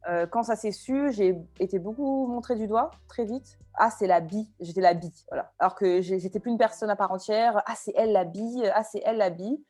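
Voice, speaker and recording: A woman's voice: medium pitch, moderate pace, hardly any pauses, darkish tone, faint music behind. Young woman in a hesitant chat. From France. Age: 20s. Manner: hesitant, many fillers and restarts. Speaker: guest. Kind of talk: casual interview talk.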